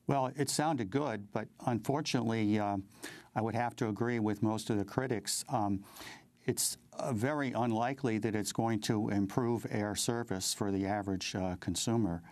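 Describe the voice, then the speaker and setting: Halting American man, dry close sound. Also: fairly low pitch, darkish tone, dry recording. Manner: halting, hesitant, measured delivery with repeated words. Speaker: interview guest, advocacy group president. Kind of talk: interview commentary.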